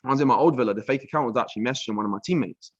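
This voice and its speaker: A young British man breaking down trading charts on a casual live stream, relaxed and chatty, full of "um", "bro" and "like". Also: medium pitch, very fast, slightly rough, dry recording, lo-fi sound. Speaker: trading mentor. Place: villa room.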